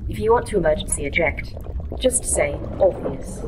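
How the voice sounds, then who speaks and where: Woman voicing a deadpan, low-voiced female AI. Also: noisy background, lightly acted. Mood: wry, composed. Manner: formal, measured wording, deadpan. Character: formal, wry female station artificial intelligence. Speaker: actor in audio drama, station AI. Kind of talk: sci-fi horror audio drama acting.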